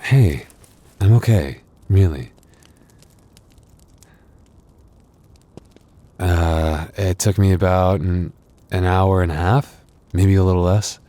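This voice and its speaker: Wounded male werewolf boyfriend, played by a man, boyfriend ASMR. Low-pitched, unhurried, exhausted, halting and tenderly reassuring. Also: dark tone. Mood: tender, grateful. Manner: halting, sighing, intimate delivery. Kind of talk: boyfriend ASMR audio roleplay.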